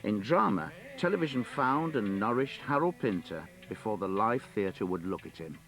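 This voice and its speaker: A man reads an essay aloud in a low voice with lively, varied intonation. Faint music sits behind the reading. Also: normal speed. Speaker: reader of written criticism.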